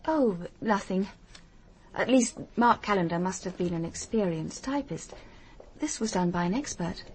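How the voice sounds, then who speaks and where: A woman with a British accent playing a polite, determined young private detective in a radio drama, measured and probing. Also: mid-range voice, animated, a little hiss or hum, close to an everyday voice. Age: young adult. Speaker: lead actress.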